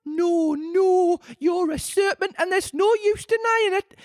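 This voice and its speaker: A reader voicing an indignant female pigeon in a shrill, high falsetto, drawn out and contemptuous. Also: takes it slowly, dry recording, clean studio sound. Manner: contemptuous, huffy, stretched-out words.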